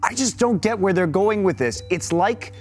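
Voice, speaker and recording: A man's voice: high voice, animated, normal speed, faint music behind. A man hosting and analyzing on a casual esports panel, with playful storytelling delivery.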